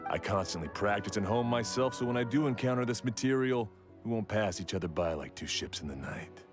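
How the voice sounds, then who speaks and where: An actor playing an earnest, polite blacksmith in a video game, speaking in a measured, thoughtful way. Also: male voice, fairly low pitch, animated, lightly acted. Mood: earnest, reflective. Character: earnest, polite male blacksmith, proud of his craft. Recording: background music under the voice.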